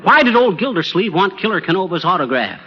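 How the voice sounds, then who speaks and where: An actor playing a blustering, scheming small-town husband, folksy and excitable, in 1930s radio comedy style. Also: male voice, sits high, fast talker, breathy edge, full character voice. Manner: folksy, excitable, wisecracking, ungrammatical. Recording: scratchy, muffled 1930s radio broadcast.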